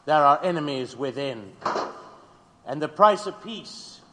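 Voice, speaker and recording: An actor playing an ominous, coolly self-satisfied security agent in an audio drama, speaking portentously. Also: male voice, a little high, animated. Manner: deliberate, portentous. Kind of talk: slow dramatic radio acting. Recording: long reverb with faint noise.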